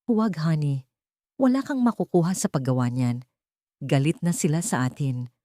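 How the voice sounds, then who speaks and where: An anguished young Filipino wife and mother in an audio drama story, voiced by a woman narrator. Her voice is low and soft-toned, heavy with self-blame, in a dry recording. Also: expressive, normal speed, no room sound. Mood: pleading.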